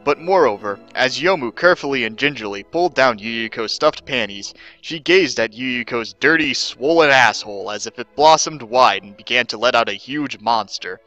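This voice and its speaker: Bright-voiced man reading a story dramatically. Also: medium pitch, expressive, moderate pace, hardly any pauses, no echo, lo-fi sound, faint music behind. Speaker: narrator of a fan fiction reading. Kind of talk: mock-serious dramatic reading of comic fan fiction.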